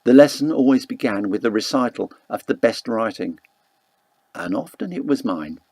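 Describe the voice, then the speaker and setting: Male audiobook narrator, literary fiction, measured delivery, creaky voice, dry close recording. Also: mid-range voice, animated, a little mellow, no room sound. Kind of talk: scripted literary narration of a first-person novel.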